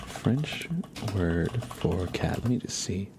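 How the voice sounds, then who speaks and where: Man, low gravelly voice, soft and breathy, often whispering, unhurried ASMR livestream chat, close mic, faint hiss. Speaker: livestream host. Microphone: close ASMR microphone.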